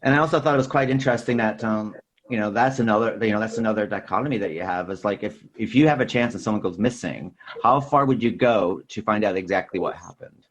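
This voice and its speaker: A man's voice: lowish voice, quick pace. A man co-hosting a casual film discussion, explaining things in a rambling, thinking-aloud way. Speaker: podcast co-host.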